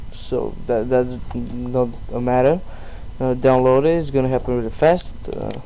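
A man presenting a casual screen-recorded software tutorial in a halting, self-deprecating way. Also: medium pitch, rough recording. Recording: steady background noise on voice-over.

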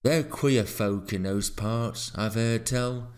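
A man's voice: medium pitch, slow, a little mellow, natural voice. A man voicing a blunt, easily irritated farmer in a storybook, scolding in rustic turns of phrase. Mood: dismissive. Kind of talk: storybook character voice.

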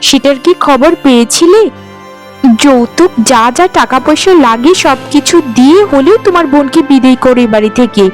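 Spiteful, bossy cartoon sister-in-law played by a woman, high voice, scornful nagging, music underneath. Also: expressive, normal speed. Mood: pushy, impatient. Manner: nagging, sharp-tongued, scornful. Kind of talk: Bengali cartoon voice acting.

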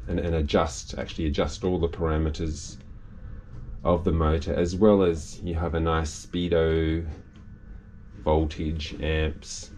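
An older man, casually explaining a project aboard his boat in an unhurried, halting way. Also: extremely low pitch, flat delivery, darkish tone, slight background noise. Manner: unhurried, halting with restarts and fillers. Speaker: boat owner and video maker. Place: cabin and engine room of a sailing catamaran.

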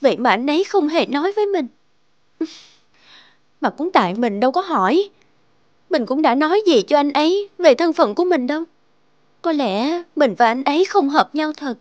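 A woman voicing a gentle, insecure young working-class girlfriend in a cartoon drama, earnest and hesitant. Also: high voice, sing-song, moderate pace, pauses often, no echo, highs cut off. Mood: wistful. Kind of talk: animated drama dubbing. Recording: music under the voice.

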